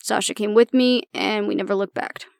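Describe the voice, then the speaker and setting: A woman playing an anxious young woman with a tough front and a soft core, confiding casually and with swearing in an intimate audio roleplay. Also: mid-range voice, fairly even intonation, brisk, vocal fry, smooth, dry recording, studio quality, natural voice. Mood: bitter, resentful.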